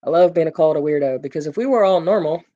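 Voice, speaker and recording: A man's voice: on the high side, fast talker, dark tone, muffled recording. A man hosting a casual gaming live stream, rambling with plenty of fillers and joking with his viewers. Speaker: gaming livestream host.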